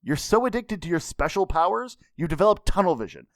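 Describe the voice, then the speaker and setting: A man's voice: sits high, animated, fairly quick, fairly bright tone, dry recording, studio quality, pitched above the performer's own voice. A man voicing a cocky anime mentor who lectures bluntly and mockingly. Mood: scolding. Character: cocky, scolding adult anime mentor, a self-declared commoner.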